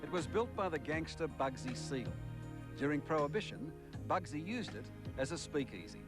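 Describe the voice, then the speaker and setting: A man's voice: on the high side, expressive, normal speed, over music. A man with an Australian accent reading a scripted narration for a television profile. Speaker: TV interviewer and narrator.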